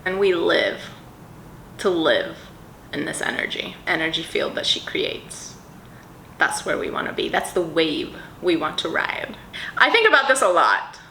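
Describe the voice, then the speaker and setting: Perfume vlogger, woman, bright chatty voice, echoey room with faint background noise. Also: sits low, big pitch swings, a little slow, vocal fry. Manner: bubbly, rambling, dreamy descriptions with many fillers. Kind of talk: casual vlog chat.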